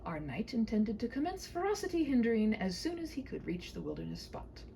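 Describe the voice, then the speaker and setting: A woman's voice: on the high side, wildly swooping pitch, fairly quick, warm tone, slight background noise. A woman reading a humorous story in a whimsical, mock-formal way, with wry asides.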